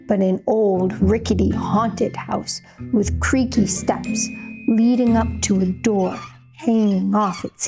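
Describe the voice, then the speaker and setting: Woman playing a high, jittery, slow-paced storybook narrator. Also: dark tone. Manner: theatrical, addresses listener directly with questions. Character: jittery, easily spooked storybook narrator warning the listener. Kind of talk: dramatic children's read-aloud.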